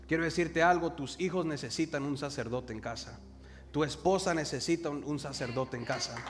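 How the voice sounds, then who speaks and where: A man's voice: a little high, over music. A young man from El Salvador with a Salvadoran Spanish accent, preaching a sermon and calling the congregation to repeat words after him. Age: young adult. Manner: calls the congregation to repeat words, slows for emphasis. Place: church hall. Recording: reverberant hall with faint noise.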